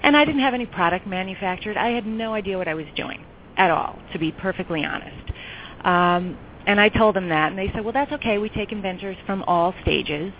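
A woman's voice: medium pitch, fairly quick. A middle-aged woman from New York, telling her story chattily as a guest in a round-table interview, with frequent ums. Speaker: guest entrepreneur. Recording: tinny phone line with faint noise.

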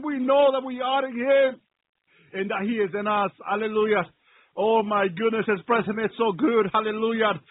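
A man with a strikingly high voice delivers an impassioned, extemporaneous sermon. He repeats phrases insistently and breaks them up with exclamations of praise and prayer. The sound is dry, with hardly any room around it. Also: no room sound, narrow, tinny audio. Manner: exclamatory and repetitive, punctuated with praise interjections. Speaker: preacher.

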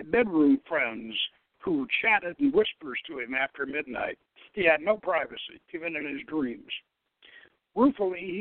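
A man giving a halting, wry dramatic reading of a story, stumbling over the text now and then. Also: on the high side, no room sound. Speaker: host reading own novel aloud. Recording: thin, dry, phone-like radio line.

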